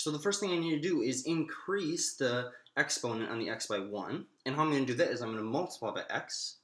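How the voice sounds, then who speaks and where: A man teaches like an informal math instructor, thinking aloud as he goes. His pitch moves in lively swings and his tone is bright and forward. The sound is roomy, with clear echo around the voice. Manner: explanatory, thinking aloud with self-posed questions. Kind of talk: informal math lecture, working through steps aloud.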